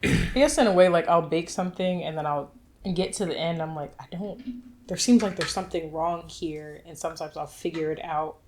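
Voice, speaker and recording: Woman on a podcast just chatting, voice a bit on the low side. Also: normal speed, darkish tone, a little hiss or hum. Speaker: podcast co-host. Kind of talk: casual chat.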